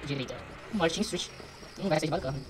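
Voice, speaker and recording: A man's voice: fairly high pitch, racing, noisy background. A young Brazilian man giving casual, slangy let's play commentary, muttering to himself as he goes. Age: young adult. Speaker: gaming streamer.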